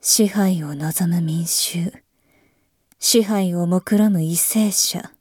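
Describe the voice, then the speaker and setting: A weary, brooding emperor, voiced by a woman, muses in a slow, half-whispered, husky tone. The voice is dark and mellow at a middle pitch, with a dry, close sound and no room around it. The old-fashioned, imperious phrasing carries quiet disdain and tiredness. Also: dry recording, heavily acted. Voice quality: archaic, imperious speech. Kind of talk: voice drama monologue.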